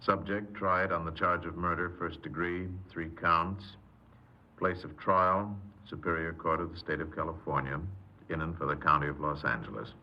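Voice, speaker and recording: An actor playing an impassive official reading a case record in clipped, formal phrases, in a 1950s radio drama. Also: male voice, sits low, steady tone, normal speed, dull audio. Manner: matter-of-fact, clipped, formal.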